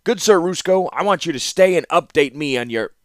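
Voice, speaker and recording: A cunning warlord speaks gruffly and with deliberate weight, as a man puts him on. His low voice moves with lively pitch, dry and close to the mic. It is a storybook voice. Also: dry recording. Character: cunning middle-aged male warlord and ruler.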